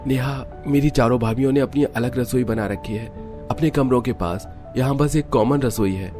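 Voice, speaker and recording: A man voicing a calm young newlywed husband in a cartoon drama, gently explaining. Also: medium pitch, normal speed, faint music behind, pitched above the performer's own voice, natural voice. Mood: patient. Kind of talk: Hindi cartoon family drama.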